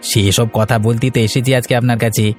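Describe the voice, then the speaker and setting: A man's voice: mid-range voice, level tone, fast talker, over music, lightly acted. A man voicing a pushy, shifty village schemer in a cartoon, by turns wheedling and bullying. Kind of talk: cartoon story dialogue.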